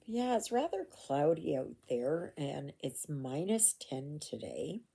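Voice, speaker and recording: A woman's voice: low voice, very animated, slow pace, creaky, dark tone, husky. An older woman from Canada chatting casually while she stitches, rambling with asides and fillers, recorded at home on a phone. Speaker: cross-stitch vlogger. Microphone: phone camera.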